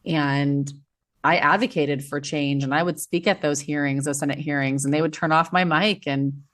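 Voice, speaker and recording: Blunt, emphatic woman with a low voice. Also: fairly even intonation, moderate pace, a bit bright. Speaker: podcast guest, former teacher. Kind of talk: casual podcast conversation.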